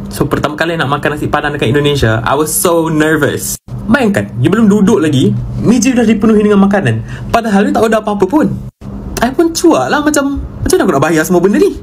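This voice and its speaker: A man's voice: sits high, lively intonation, fast, a little hiss or hum. A man from Malaysia with a Malaysian Malay accent narrating a vlog story, mixing English into his speech.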